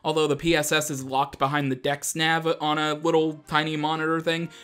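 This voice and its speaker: A man's voice: a little high, completely flat delivery, brisk, pushed and bright, clean tone. A man doing casual, chatty gameplay commentary as the host, often poking fun at himself. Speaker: gaming show host. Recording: music behind the voice. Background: video game music.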